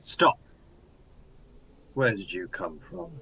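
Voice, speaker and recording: A man's voice: low voice, big pitch swings, slow, gravelly, sharp tone, slight background noise, heavily acted, rough recording. An actor playing a hardened ex-soldier who has survived an invasion, talking in a brooding, intense way as he lays out his plans in a radio drama. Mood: suspicious. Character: hardened male ex-soldier survivor, scheming and grim. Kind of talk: amateur radio drama acting. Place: home room, recorded remotely.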